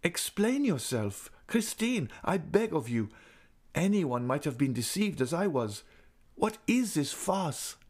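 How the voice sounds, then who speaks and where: A man's voice: on the high side, big pitch swings, slow, voice pushed up, heavily acted. A man voicing a young man in love, agitated and imploring in a raised voice. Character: young man, wounded, sarcastic then desperate.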